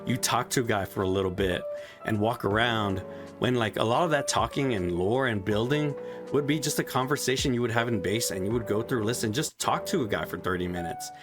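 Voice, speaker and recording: A man's voice: fairly low pitch, a little fast, over music. Man ranting in a game review. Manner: frustrated ranting, frequent swearing.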